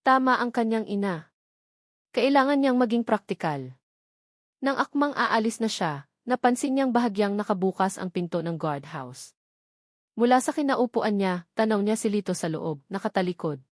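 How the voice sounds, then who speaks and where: A woman's voice: medium pitch, lively intonation, moderate pace, dry recording, clean studio sound. A woman reading a scripted romance story as its narrator.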